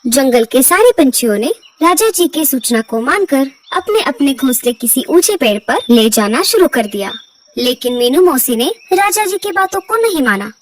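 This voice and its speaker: A woman's voice: high voice, big pitch swings, normal speed, far from the mic, slight background noise. A woman reading scripted narration for a children's cartoon story.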